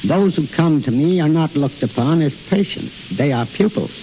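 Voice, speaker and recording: A man's voice: a little high, expressive, normal speed. A middle-aged man speaking formally and precisely as a guest in a scripted 1930s radio interview. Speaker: institute head, guest speaker. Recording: hissy, muffled 1930s radio recording.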